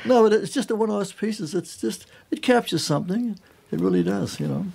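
A man's voice: high voice, animated, brisk, gravelly, a little mellow, breathy edge. An older man from New Zealand, a jazz musician chatting as a studio guest in an emphatic, colloquial way, often breaking off and restarting his sentences. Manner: emphatic, colloquial, self-interrupting. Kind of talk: casual conversational interview, telling anecdotes.

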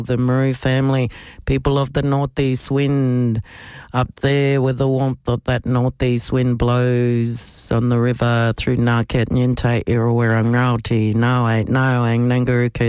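A man from South Australia with an Australian accent, hosting community radio and speaking live in a measured, deliberate way, phrase by phrase. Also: medium pitch, smooth, no room sound. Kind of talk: live radio welcome and acknowledgement of country. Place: radio studio.

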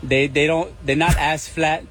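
A man with a Louisiana accent co-hosting a radio show, talking in an easygoing, drawn-out way. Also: fairly high pitch, fairly even intonation, a bit bright, slightly rough. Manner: easygoing, drawn-out, says y'all. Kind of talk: casual on-air chat.

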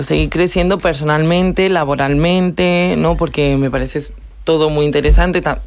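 A woman of twenty-two, giving a casual, halting account as an interview guest. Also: low voice, a little mellow. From Córdoba, southern Spain. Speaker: interview guest, youth program participant.

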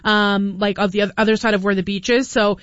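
A woman's voice: medium pitch, fairly even intonation, a little fast, clear, resonant tone, no echo, dull audio. A Canadian woman chatting casually as a contributor on a radio show. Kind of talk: casual chat.

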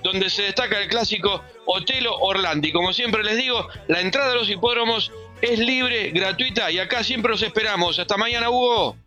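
A man giving a steady, informative horse-racing report on radio. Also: high voice, moderate pace, soft background music.

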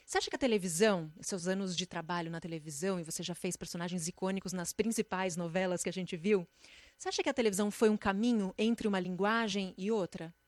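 A woman's voice: fairly low pitch, steady tone, fast talker, no echo. A woman interviewer asking questions in a conversational broadcast interview.

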